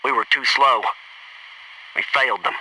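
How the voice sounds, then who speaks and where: An actor playing a brash, wisecracking young American Navy SEAL in a military shooter game, with a cocky, folksy, punchy delivery. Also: male voice, mid-range voice, a little slow, distant mic, noisy background, natural voice. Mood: grim, scornful.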